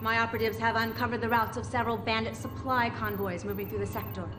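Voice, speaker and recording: An actress playing a formal, cautioning political ambassador in a game briefing, with a firm diplomatic manner. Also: female voice, fairly high pitch, flat delivery, quick pace, natural voice. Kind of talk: game mission briefing acting. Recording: roomy echo under music.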